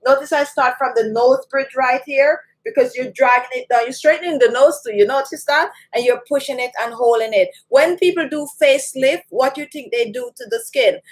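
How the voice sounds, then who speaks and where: High-voiced Jamaican woman giving skincare tips. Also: a little fast, hardly any pauses, low-quality recording. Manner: chatty, emphatic, repeats key points. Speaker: beauty channel host. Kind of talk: skincare tutorial to camera.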